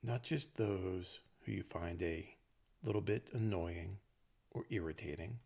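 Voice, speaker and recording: A low-voiced man guides a meditation in gentle, short phrases. His voice is husky and creaky, and he leaves long pauses between thoughts. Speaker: meditation teacher.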